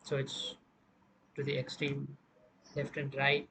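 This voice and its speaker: A man presenting an informal music production software tutorial, explaining step by step in a halting way. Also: mid-range voice, monotone, vocal fry, background noise.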